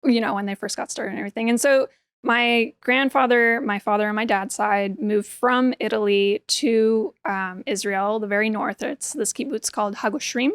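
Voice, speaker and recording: A woman's voice: fairly high pitch, moderate pace, clean tone, no echo, clean studio sound. A woman whose first language is Hebrew, chatting casually as a podcast guest, with plenty of "like" and "um" and easy laughter. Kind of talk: casual conversational interview.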